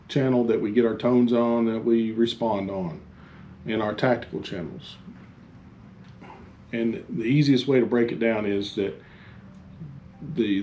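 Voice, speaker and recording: Low man explaining, faint background noise. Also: a little mellow. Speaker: fire chief. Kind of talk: informal instructional talk.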